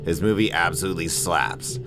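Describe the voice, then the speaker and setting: A man's voice: deep voice, expressive, a little fast. A man in his thirties narrating a casual video essay in an irreverent, opinionated, slangy and profane way. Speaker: video essayist, channel host. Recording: music playing behind the voice. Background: background music.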